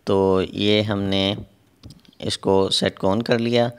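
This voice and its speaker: A man's voice: fairly low pitch, flat delivery, takes it slowly, smooth. A man narrating a step-by-step repair tutorial as an instructor, in a methodical way with repetitive phrasing.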